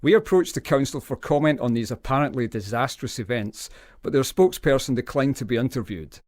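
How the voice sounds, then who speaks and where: An actor playing a serious radio news reporter, reading and interviewing in a formal, measured broadcast style. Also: male voice, medium pitch, animated, a little mellow, dry recording, clean studio sound, close to an everyday voice. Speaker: newsreader. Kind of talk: scripted broadcast news delivery in audio drama.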